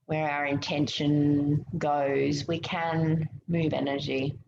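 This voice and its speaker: A low-pitched woman with a creaky edge guides a meditation very slowly. Her delivery is soothing and gentle, with pauses between instructions. The recording sounds roomy. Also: level tone. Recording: muffled, roomy, noticeable background hiss.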